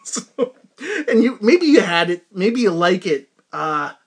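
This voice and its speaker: Male podcast host, high-pitched voice, casual rambling solo talk, animated delivery with repeated words for comic emphasis. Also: slow, breathy edge.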